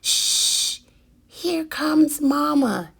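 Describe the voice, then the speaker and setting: A woman's voice: high-pitched, lively intonation, drawn-out words, vocal fry, a bit bright, pitched above the performer's own voice, heavily acted. A woman voicing curious, startled baby birds in a storybook, with exaggerated wonder. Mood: hushed warning. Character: curious, startled little baby birds chirping in their nest.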